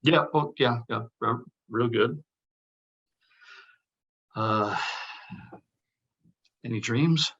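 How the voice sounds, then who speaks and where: A man plays a casual buddy with something to hide. His voice is husky and sits at an average pitch. The delivery is that of a table read. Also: very slow, pauses often, fairly bright tone, dull audio, exaggerated voice. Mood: evasive. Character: casual, evasive male buddy with a dark streak.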